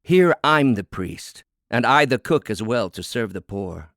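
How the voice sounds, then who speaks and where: Proud, defiant female cook acted by a man for a fable: indignant, emphatic, lively pitch with a gravelly edge. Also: measured pace, no room sound, studio quality. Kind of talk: dramatic storybook fable reading.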